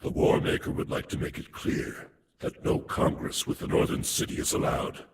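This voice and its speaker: A man's voice: moderate pace, natural voice. A man voicing a cold, inhuman herald in a video game, issuing formal decrees to slaves in a stern, whispery, voiceless tone. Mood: stern, threatening. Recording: echoing, clearly noisy background.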